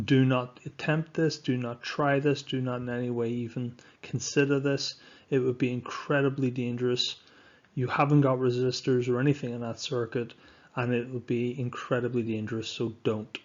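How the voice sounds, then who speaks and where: A man teaches science over slides in a fairly low voice. He is instructive and deliberate, leaning on key words for emphasis. The recording is a little dull and lo-fi. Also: flat delivery, a little mellow. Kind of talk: scripted lesson narration over slides.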